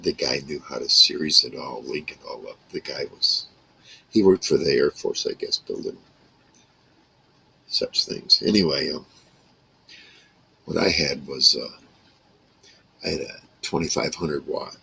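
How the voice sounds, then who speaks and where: A man speaks in an extremely low, creaky and husky voice. He rambles casually to camera, talking in halting, broken phrases like an informal vlog. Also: bright, forceful tone, low-quality recording. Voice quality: gravelly, rough. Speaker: vlogger reminiscing about a radio hobby. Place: small wood-paneled room indoors.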